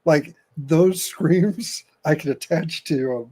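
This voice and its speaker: A man's voice: fairly high pitch, slow, a little mellow. A man hosting a casual podcast chat, giving laid-back reactions. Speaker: podcast host.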